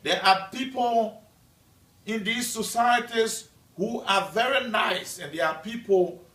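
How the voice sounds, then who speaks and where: Student speaker from Liberia, man, fairly high voice, unhurried prepared speech, heard off-mic. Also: a bit bright. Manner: slow, measured delivery with deliberate pauses. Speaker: student presenter. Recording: echoey room, mic at a distance.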